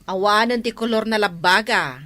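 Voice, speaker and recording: An actress with an Ilocano accent playing a matter-of-fact, persuasive village neighbour in a comedy drama. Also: female voice, medium pitch, normal speed, sharp tone, close to an everyday voice.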